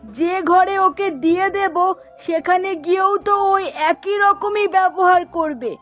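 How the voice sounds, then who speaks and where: A woman's voice: very high-pitched, measured pace, a bit bright. A woman voicing an exasperated middle-aged mother in a cartoon, complaining and scolding with emphasis. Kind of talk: Bengali cartoon voice acting. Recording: music behind the voice.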